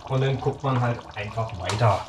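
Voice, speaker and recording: A man's voice: mid-range voice, normal speed, noisy background. A man in his thirties hosting a casual home cooking video, chatting with lots of fillers as he works in a small kitchen, picked up by a camera mic across the room. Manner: chatty, many fillers, talks while cooking. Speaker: cooking show host.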